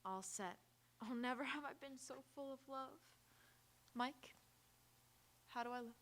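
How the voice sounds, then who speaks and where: A woman's voice: high-pitched, a little slow, fairly bright tone. A young woman playing a proud, wry, emotional society bride in live radio theatre. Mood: overjoyed, tender.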